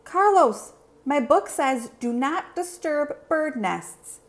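A woman voicing an excitable, eager schoolchild in a storybook read-aloud. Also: high-pitched, slow pace, voice pushed up, heavily acted. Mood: scolding. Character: excitable schoolchildren on a field trip, alarmed and eager.

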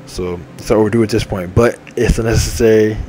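Low-voiced young man rambling upbeat. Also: fairly even intonation, fairly quick, a little hiss or hum. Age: 20s. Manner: repetitive, upbeat, enthusiastic shout-outs. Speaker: gaming channel host. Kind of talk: rambling casual monologue over game menu. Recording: faint game music behind. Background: faint game menu music.

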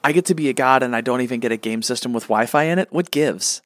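A man's voice: mid-range voice, fast talker, natural voice. A man playing a petty, sarcastic Star God who grumbles at his angels in a comic radio play. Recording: echoey long reverb.

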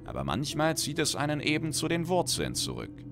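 A man's voice: a little high, huge pitch swings, fairly quick, vocal fry, over music, voice pushed up, exaggerated voice. A man voicing a menacing, grandiose undead female necromancer with a hollow tone in a fantasy audiobook. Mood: ominous prophecy. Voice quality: hollow-sounding.

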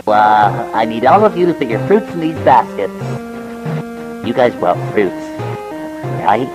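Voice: medium pitch, big pitch swings, a little slow, lo-fi sound, over music. A performer voicing a grumpy, bossy cartoon animal host with a scolding, sighing manner. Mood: weary.

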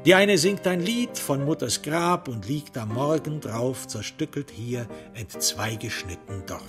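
A man playing a taunting serial killer who recites a macabre counting rhyme, deliberately and with drawn-out stresses, in a crime radio play. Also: fairly high pitch.

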